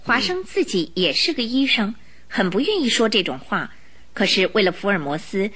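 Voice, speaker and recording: A woman's voice: mid-range voice, big pitch swings, moderate pace, pushed and bright, slightly rough. A woman reading dramatic scripted narration for a radio play. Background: music.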